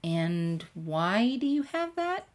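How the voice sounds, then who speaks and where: Woman voicing an eager, higher-pitched chirpy schoolgirl. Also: very animated, slow. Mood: curious. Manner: bright, questioning, easily flustered.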